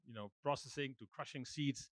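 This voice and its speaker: A man's voice: medium pitch, brisk, no echo. Kenyan man telling his company story. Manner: explanatory, storytelling. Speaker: company chairman. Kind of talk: panel introduction.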